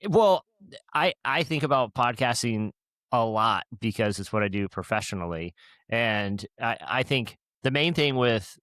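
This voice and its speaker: Lowish-voiced guy on a podcast, thinks out loud, rambles and restarts a lot, dry sound. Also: no echo. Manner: thoughtful, rambling, with many restarts. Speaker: podcast co-host, podcaster by profession. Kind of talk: casual chat.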